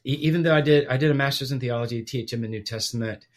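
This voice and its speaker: A man's voice: medium pitch, racing. A middle-aged man from Guatemala, a podcast guest chatting casually in a self-deprecating, rambling way with restarts. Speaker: podcast guest, theology professor. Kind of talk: casual chat.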